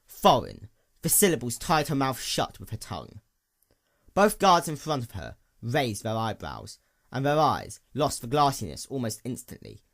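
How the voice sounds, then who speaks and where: A man reads fiction aloud as a narrator. His mid-pitched voice moves through a very lively range, and his delivery is deliberate and dramatic. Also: creaky.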